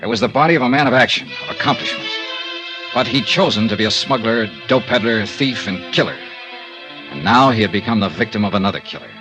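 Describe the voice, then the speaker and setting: Man acting hard-boiled American detective, lowish voice, sardonic, blunt, vintage radio noir, music behind. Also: expressive, fast, natural voice. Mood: grim narrating. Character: tough, sardonic American freelance insurance investigator.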